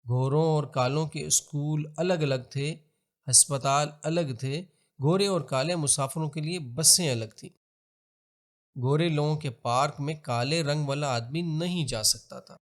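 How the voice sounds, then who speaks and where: A man with a Pakistani accent reads scripted historical narration in a formal, measured, expository manner. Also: on the high side. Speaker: documentary narrator.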